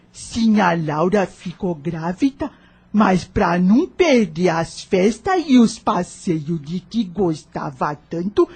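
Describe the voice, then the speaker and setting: An old Brazilian nursemaid from an audio drama, played by a woman, sorrowful and protective. Her voice is very husky and weathered, rather low. She speaks very slowly in rustic folk phrasing, with lively rises and falls. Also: exaggerated voice. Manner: slow rustic folk speech, solemn storytelling.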